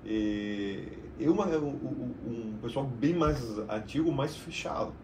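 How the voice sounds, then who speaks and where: A middle-aged man from Argentina with an Argentine Spanish accent, a native Spanish speaker, talking about his work in a casual, slightly halting way as a podcast guest. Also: mid-range voice, expressive, a little mellow, a little hiss or hum. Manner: chatty, slightly halting storyteller. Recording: roomy echo, mic at a distance.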